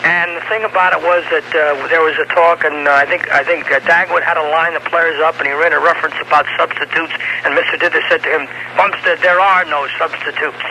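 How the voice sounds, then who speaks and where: A middle-aged man phoning a radio show, chatting nostalgically in a hesitant, halting way. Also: fairly high pitch, steady tone. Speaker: radio call-in caller. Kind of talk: nostalgic casual chat. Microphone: telephone call to studio. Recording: noisy cassette of radio phone-in.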